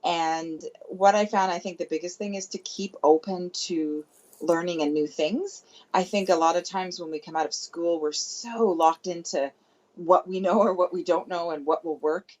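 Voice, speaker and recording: A woman's voice: lowish voice, normal speed, a little mellow. Cheerful Canadian woman on a call. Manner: cheerful, laughs easily. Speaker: chiropractor guest. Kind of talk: casual interview chat. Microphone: remote call. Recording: dull, lo-fi call audio.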